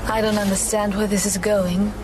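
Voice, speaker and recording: A woman's voice: medium pitch, little pitch movement, normal speed, lightly acted. A woman playing a proud, guarded young warrior in a video game, grave and terse in her delivery. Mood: wary, proud. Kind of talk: video game cutscene acting. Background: music.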